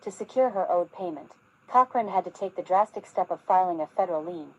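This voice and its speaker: A woman narrating a scripted news report in an even, polished read. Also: lowish voice, a little fast, highs cut off.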